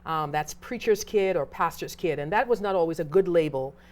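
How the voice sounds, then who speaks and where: A woman's voice: fairly low pitch, brisk. Casting: woman reverend from Trinidad, earnest, reflective, conversational interview guest. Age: adult who arrived as a child over thirty years ago. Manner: reflective, earnest, frequent restarts.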